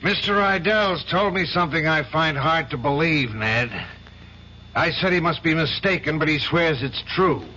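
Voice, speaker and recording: Indignant, blustering senior lawyer, higher-pitched and hard-edged, 1970s radio drama, muffled hissy sound. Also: male voice, normal speed, sharp tone. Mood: stern, incredulous. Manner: blustering, scolding. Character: indignant, domineering male law firm boss. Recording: dull, noisy 1970s radio broadcast.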